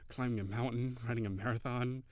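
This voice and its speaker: A man playing a cheery, shy, flirty kitsune barista in an intimate audio roleplay, speaking hesitantly and giggly, with gentle encouragement. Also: mid-range voice, steady tone, fairly quick, no room sound, lightly acted. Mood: earnest, encouraging.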